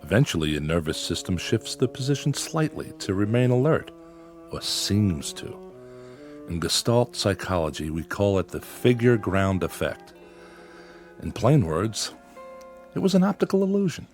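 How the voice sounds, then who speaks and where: An actor in a radio drama playing a calm, skeptical male psychiatrist who probes his patient in a measured, dry, clinical manner. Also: on the low side, faint music behind. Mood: clinical, probing. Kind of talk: audio drama acting.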